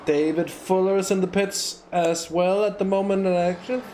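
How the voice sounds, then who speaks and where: A man's voice: sits high, steady tone, moderate pace, dark tone, a little hiss or hum. A man commentating a live sim race, chatty and hesitant, with lots of fillers and restarts, on a USB microphone.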